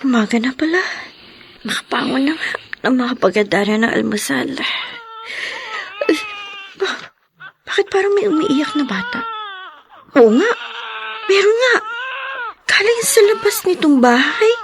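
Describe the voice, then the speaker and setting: A woman playing a gentle adoptive mother in love in a radio drama, often speaking softly in a near whisper. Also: high voice, big pitch swings, measured pace, long pauses, background noise, full character voice. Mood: worried. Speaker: voice actor.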